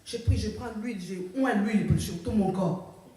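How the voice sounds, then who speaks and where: A woman's voice: medium pitch, moderate pace, warm tone. A woman pastor preaching a sermon and calling out "Alléluia" for her congregation to answer. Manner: preaching with frequent calls of "Alléluia" for congregational response. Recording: very echoey, mic far off. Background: congregation answering "Amen".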